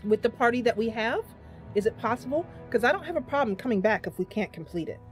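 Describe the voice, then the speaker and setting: A woman's voice: a little high, expressive, fast talker. Woman game streamer thinking aloud over music. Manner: thinking aloud, chatting with viewers. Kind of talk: casual live gameplay commentary.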